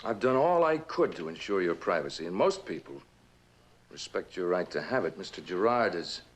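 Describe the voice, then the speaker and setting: An actor playing a coldly calculating, condescending male manager in a measured, controlled suspense drama style. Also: low-pitched, very animated. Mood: smooth. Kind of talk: old radio and television suspense drama acting.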